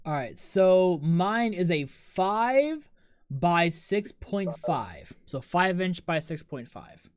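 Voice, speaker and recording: A young man, around nineteen, co-hosting a podcast from his home setup, joking around and quick to laugh. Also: high voice, sing-song. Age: young adult. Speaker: podcast co-host. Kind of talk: casual chat. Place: home streaming room.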